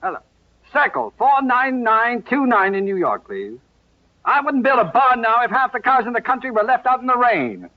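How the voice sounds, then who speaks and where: A man's voice: very high-pitched, big pitch swings, moderate pace, heavily acted. A man playing a stubborn, exasperated husband and homeowner in an old-time radio comedy, indignant and flustered in a clipped delivery. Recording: dull, band-limited vintage radio recording.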